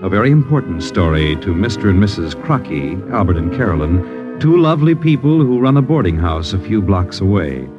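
An actor playing a grave, ominous narrator of a 1950s radio suspense drama, speaking in a deliberate, dramatic way. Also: male voice, low-pitched, sing-song, over music. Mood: wry, portentous. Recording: dull old radio broadcast.

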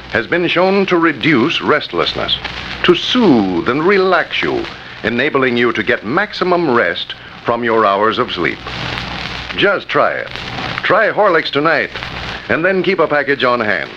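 A dull, noisy vintage radio recording of a male announcer. His delivery is lively and melodic, folksy yet measured, as he persuades in the scripted style of a 1930s sponsor spot. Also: mid-range voice.

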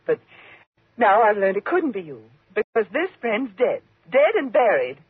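Frightened woman, faltering, husky, agitated, vintage radio suspense acting, muffled tinny recording. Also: medium pitch, very animated, normal speed, no echo. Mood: nervous, evasive. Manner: faltering, agitated, breathy gasps. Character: nervous, fearful woman trapped with a menacing man. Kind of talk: 1950s radio thriller acting.

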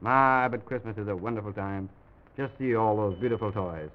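A man hosting an old-time children's radio show, speaking gently and simply to small children as he tells a make-believe story. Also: on the low side, moderate pace, slight background noise. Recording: dull old radio recording with music.

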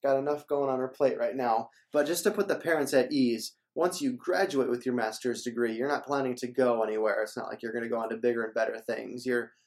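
A man's voice: mid-range voice, fairly even intonation, fairly quick, hardly any pauses. Upbeat young man hosting a church interview. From Minnesota. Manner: upbeat, promotional, repeats words. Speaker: church staff host. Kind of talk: casual church announcement interview.

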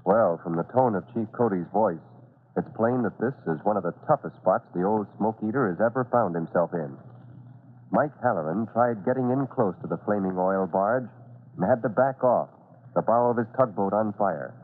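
Dramatic 1940s radio narrator, tinny sound. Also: male voice, fairly low pitch, normal speed, breathy edge. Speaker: narrator and announcer. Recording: narrow-band, slightly noisy 1940s radio recording.

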